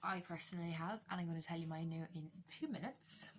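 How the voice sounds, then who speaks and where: A woman's voice: fairly low pitch, fast, a little hiss or hum. An Irish woman chatting casually through a makeup tutorial, candid, joking and self-deprecating, with a bit of swearing. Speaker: beauty vlogger doing a makeup tutorial.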